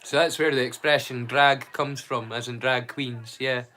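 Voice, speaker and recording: A man's voice: mid-range voice, fairly even intonation, normal speed, sharp tone. A man hosting a casual livestream, chatting to viewers in a rambling, stop-start way and repeating words mid-sentence. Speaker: livestream host.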